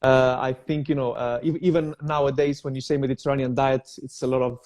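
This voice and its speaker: A young man who grew up in Cyprus and speaks Greek as his first language talks as a guest in a relaxed interview. His voice is mellow and dark. He speaks hesitantly, filling pauses with frequent uh's. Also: medium pitch. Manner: hesitant, many "uh" fillers and restarts. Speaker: guest, vegan personal trainer. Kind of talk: casual interview.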